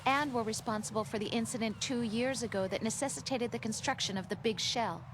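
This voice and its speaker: An actress playing a concerned young woman on a military support team, speaking in a measured way in video game dialogue. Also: fairly high pitch, lightly acted. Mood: informative. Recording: noisy game audio with ambience.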